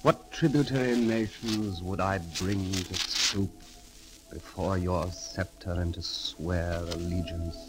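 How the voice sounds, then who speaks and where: An actor playing a roguish, glib young poet-thief in a theatrical, flowery 1930s radio drama manner. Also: male voice, sits low, steady tone, slow, poor audio. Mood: ardent, romantic. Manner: theatrical, flowery, grandly declaiming. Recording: old 1930s radio broadcast, music behind.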